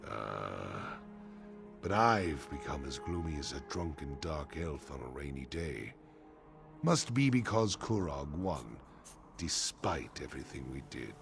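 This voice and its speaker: A weary orc chieftain speaks very low and slowly, with a gravelly edge. He is solemn and honourable, a brooding leader from a fantasy game. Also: very animated, faint music behind, heavily acted. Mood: grim, sorrowful. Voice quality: deep, gravelly.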